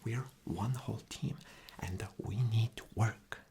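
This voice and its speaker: A man's voice: lowish voice, unhurried, gravelly, mellow, a little hiss or hum. A man doing an ASMR roleplay as an arrogant, impatient, rude boss, with a condescending, demanding manner. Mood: impatient, scornful. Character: arrogant, impatient, rude male boss interviewing a job applicant.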